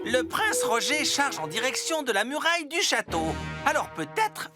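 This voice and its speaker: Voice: sits high, big pitch swings, moderate pace. A voice actor playing an eager, impulsive, childlike talking digger in a French children's cartoon, exclaiming earnestly. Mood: eager, curious. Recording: loud music under the voice.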